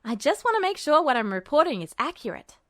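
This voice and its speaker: A woman voicing a brash, impatient young alchemist who talks quick and defiant, in a fan audiobook reading. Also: high-pitched, sing-song, a bit bright, dry recording, clean studio sound.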